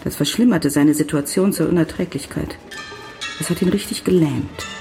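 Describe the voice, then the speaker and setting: A woman acting in a radio drama as a serious narrator who recounts a writer's decline in measured, articulate sentences. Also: low-pitched, vocal fry, warm tone, soft background music. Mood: sober, intent.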